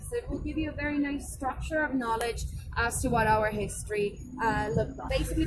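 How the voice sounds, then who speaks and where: Young woman guiding a tour on a noisy street. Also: on the high side. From Serbia. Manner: chatty and informal, frequent ums. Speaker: tour guide. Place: outdoors on a city square. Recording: loud outdoor street noise with traffic. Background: traffic and street ambience.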